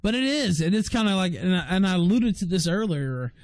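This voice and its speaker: Male livestream host, informal and chuckly, slightly high voice, very dry sound. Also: sing-song, brisk, no room sound. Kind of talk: casual live interview, reading viewer questions.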